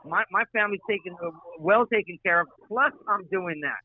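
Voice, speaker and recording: A man's voice: high-pitched, normal speed, phone-quality sound. A man from Syria talking as a podcast guest in an impassioned, emphatic and insistent way, over thin remote call audio. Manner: emphatic, insistent, repeats phrases for force. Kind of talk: impassioned casual discussion.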